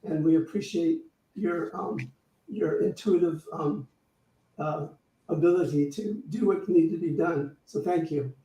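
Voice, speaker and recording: A man with a fairly high, somewhat dark voice hosts an informal church service. He talks in a halting, conversational way, picked up from a distance in a roomy space. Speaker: service host, minister. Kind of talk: informal church service announcements and offering talk.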